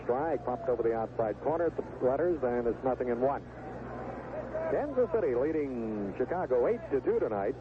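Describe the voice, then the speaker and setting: A man's voice: mid-range voice, animated, moderate pace, narrow, tinny audio. A man calling baseball play-by-play live on the radio, heard through a noisy old broadcast recording with stadium ambience behind him. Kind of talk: live radio sports commentary.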